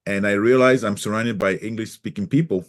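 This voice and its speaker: Soft-spoken low-voiced man with mixed accent. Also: steady tone, brisk. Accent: mixed French and Cameroonian. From Cameroon. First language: French. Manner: hesitant, many fillers and restarts. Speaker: guest. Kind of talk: casual interview chat.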